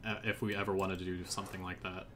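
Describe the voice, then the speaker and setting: A man's voice: low voice, little pitch movement, fast talker, bright, forceful tone, a little hiss or hum. A man hosting a game developer's live stream, chatting casually and thinking aloud with plenty of fillers while he works. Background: background music.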